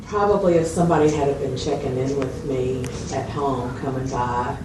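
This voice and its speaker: A woman's voice: a bass voice, steady tone, moderate pace. A woman playing a candid psychiatric patient, talking hesitantly and plainly in short phrases. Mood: candid, subdued. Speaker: mental health patient in a consultation. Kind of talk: role-played clinical interview, conversational. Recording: distant mic, roomy echo, loud background noise.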